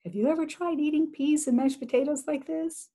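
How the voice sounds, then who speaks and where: A woman reading a children's picture book aloud as an expressive storyteller. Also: high-pitched, fairly quick.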